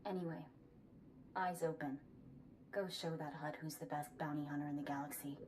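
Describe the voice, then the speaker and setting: An actress playing a brisk, confident handler in a video game, briefing in a matter-of-fact way. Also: female voice, low-pitched, fairly even intonation, lightly acted. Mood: businesslike. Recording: slightly distant, faint background noise.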